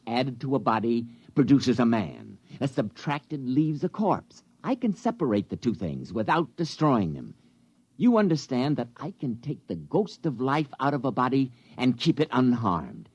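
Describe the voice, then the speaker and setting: An actor playing an irascible, scornful scientist who lectures and insults indignantly in a 1950s radio drama. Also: male voice, medium pitch, a little mellow, breathy edge, no echo. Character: irascible, scornful male scientist doctor, proud of his machine. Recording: muffled vintage 1950s radio recording.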